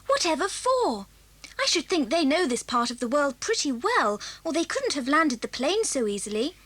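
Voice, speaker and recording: A woman's voice: high voice, sing-song, moderate pace, a bit bright. A woman playing an anxious, eager young schoolgirl with a wide-eyed, questioning manner, in a 1980s children's audio drama. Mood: curious, keen.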